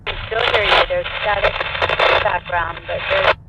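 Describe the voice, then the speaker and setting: A woman's voice: on the low side, steady tone, moderate pace. Woman over a crackly, static-filled walkie-talkie. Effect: two-way radio transmission. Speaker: test partner giving signal reports. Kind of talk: short radio check replies.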